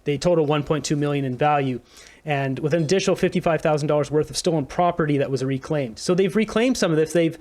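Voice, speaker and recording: A man's voice: a little high, fast, darkish tone. A man hosting a political commentary show, reading news aloud informally with an indignant edge.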